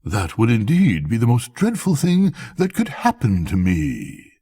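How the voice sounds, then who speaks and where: A man's voice: medium pitch, wildly swooping pitch, a little slow, warm tone, slightly rough, exaggerated voice. A man voicing a vain but insecure emperor in a storybook, ponderous and self-questioning. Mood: dismayed. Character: vain, insecure male emperor, privately anxious.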